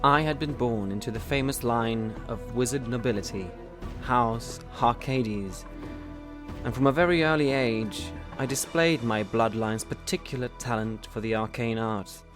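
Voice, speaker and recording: A man narrating his character's backstory in a measured storytelling way. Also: medium pitch. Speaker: podcast host and player. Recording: faint music behind.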